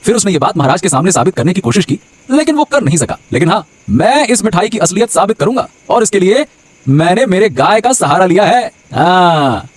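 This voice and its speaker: अभिनेता की आवाज़ में ऊँचे सुर वाला चालाक देहाती आदमी. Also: male voice, high-pitched, very animated, brisk, slight background noise. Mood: smug, confident. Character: scheming, cheerful village husband with a cow. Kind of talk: Hindi animated moral story voice acting.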